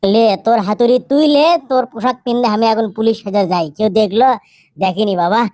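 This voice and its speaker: A woman's voice: a little high, steady tone, brisk, dark tone, no echo, clean studio sound. A woman with a northern Bangladeshi accent voicing a cheeky, wily condemned prisoner in a comic game, through a voice changer. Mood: sly. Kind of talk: comic dubbed game roleplay in dialect.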